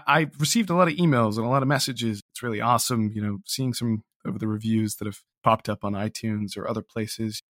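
A man's voice: fairly low pitch, brisk, few pauses, a bit bright, dry recording. Earnest man in reflective interview, dry sound. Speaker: interview guest, audio drama writer. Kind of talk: reflective, casual interview talk.

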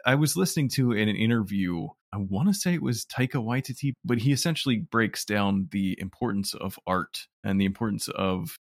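A man's voice: lowish voice, expressive, a little fast, hardly any pauses, no room sound, studio quality. A man being interviewed as an audio drama producer, explaining his work conversationally.

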